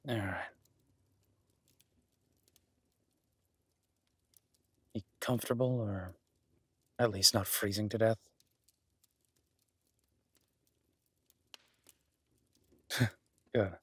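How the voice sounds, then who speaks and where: A man playing a solitary, kindly cabin dweller and former medic in an intimate audio roleplay, speaking haltingly with dry teasing and reassurance. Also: on the low side, fairly bright tone, slightly rough, no echo. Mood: calm, caring. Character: gruff-kind solitary cabin-dwelling former EMT, awkward, teasing, protective.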